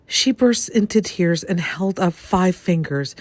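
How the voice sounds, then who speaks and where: A woman with a fairly low voice reads a personal story as a dramatic performance. Her delivery is theatrical and expressive, shaped for storytelling. Music plays behind her. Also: a little slow. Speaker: reader of a written personal story. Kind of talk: dramatic reading of a first-person story, acting out the quotes.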